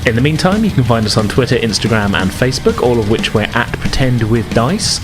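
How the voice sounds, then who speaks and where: A man's voice: medium pitch, sing-song, brisk, over music. A man speaking as game master and podcast host, explaining informally with some hesitation as he runs a tabletop game. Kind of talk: narrating a tabletop role-playing game. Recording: noticeable background noise.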